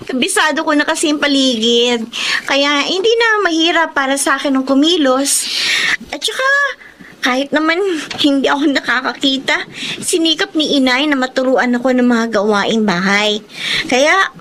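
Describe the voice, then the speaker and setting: A woman playing a gentle, capable young daughter of a fishing family in a Filipino radio drama. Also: high-pitched, normal speed, a little hiss or hum. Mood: earnest, assured.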